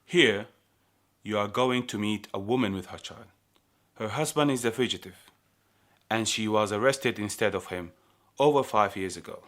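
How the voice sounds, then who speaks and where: An actor playing a secretive, demanding prison guard in a radio play. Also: male voice, on the low side, normal speed, a bit bright, lightly acted. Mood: matter-of-fact.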